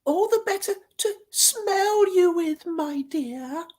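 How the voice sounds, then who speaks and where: A woman voicing a sly male wolf who fakes a shrill, quivering old grandmother's voice. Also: lively intonation, takes it slowly, darkish tone, exaggerated voice. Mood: sneering.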